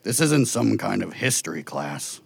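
A man playing a huge, jovial furry beast who teases in a gravelly, husky voice in a children's audio drama. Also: very animated, no echo, exaggerated voice. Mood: playful, teasing. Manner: unhurried, booming, playfully teasing.

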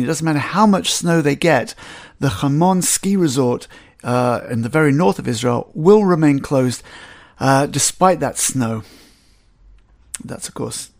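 A man's voice: a little high, vocal fry, a little mellow. A man with a slight English accent, hosting a weekly news roundup podcast in a conversational way, with filler ums and small asides. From England. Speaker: podcast host. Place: Jerusalem.

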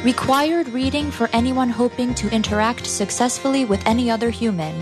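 A woman's voice: on the high side, brisk, over music. A woman announcer reading a polished radio ad for a book. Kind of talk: scripted book ad read.

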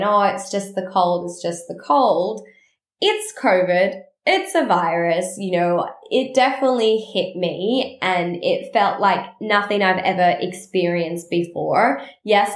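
Nasally stuffed-up woman rambling on her podcast, middle pitch, roomy echo. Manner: chatty, rambling and animated, with quick asides. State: nasally congested while recovering from illness. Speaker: podcast host. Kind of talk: casual solo podcast chat.

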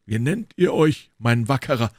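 A man's voice: mid-range voice, animated, moderate pace, a little mellow, no echo, studio quality, pitched above the performer's own voice, natural voice. Man playing gallant musketeer, stately, raised voice. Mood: gracious. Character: noble, gallant male musketeer, gracious leader of comrades.

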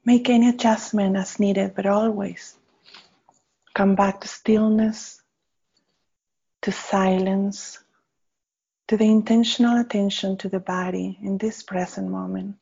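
A woman guides a meditation very slowly and gently, pausing between short phrases. Her voice is mellow and slightly husky. The recording is a little dull. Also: mid-range voice, steady tone. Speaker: meditation guide, faculty member. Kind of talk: guided body scan meditation. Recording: slightly dull, muffled audio.

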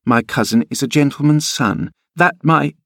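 A man voicing a haughty, spoiled little girl in a storybook, imperious and childish, quick to indignant exclaiming. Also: mid-range voice, animated, no echo, studio quality, pitched above the performer's own voice, close to an everyday voice. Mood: distressed, scornful.

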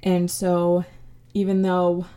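A woman's voice: fairly low pitch, completely flat delivery, slow pace, dark tone, smooth. A young woman, a podcast host whose first language is Spanish, talking through her childhood in a reflective way, with lots of ums and restarts. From United States. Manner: reflective, many fillers and restarts. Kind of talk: casual personal storytelling.

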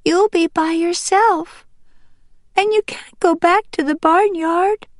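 A woman's voice: extremely high pitch, steady tone, takes it slowly, no echo, studio quality, voice pushed up. A woman voicing a plaintive young male wild duck who pleads earnestly like a child in an audiobook. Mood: tearful, pleading. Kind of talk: audiobook character voice.

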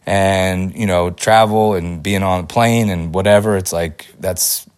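A man's voice: deep voice, fairly even intonation, measured pace, clear, resonant tone. A man hosting a podcast, talking casually and giving upbeat motivational advice, his voice a little off from a lingering cold. He rambles with fillers and restarts. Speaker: podcast host. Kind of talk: casual solo podcast talk.

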